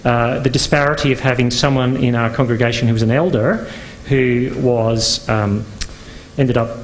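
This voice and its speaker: A man's voice: mid-range voice, steady tone, moderate pace, a little hiss or hum, poor audio. A man from Northern Ireland giving a conference talk as a pastor, speaking conversationally with frequent fillers and restarts. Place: conference venue.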